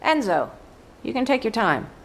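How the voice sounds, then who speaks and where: A woman's voice: on the high side, big pitch swings, moderate pace, vocal fry. A woman playing a middle-aged, hardened female soldier and mother in a video game cutscene, composed and wry. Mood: brisk, teasing.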